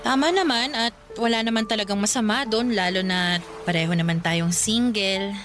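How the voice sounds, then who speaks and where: A woman acting in a Filipino radio drama as a guarded, frank single woman in her thirties, speaking conversationally with expressive, hesitant pauses. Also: medium pitch, music in the background, close to an everyday voice. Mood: hesitant, firm.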